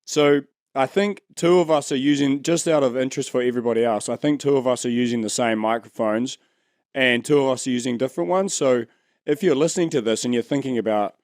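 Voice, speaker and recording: A man with a mid-range voice, easygoing and relaxed, keeps the conversation moving. The recording is dry with no room sound. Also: mid-range voice, a little fast, dry recording. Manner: easygoing, organizing the discussion. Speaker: podcast co-host. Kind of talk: casual chat.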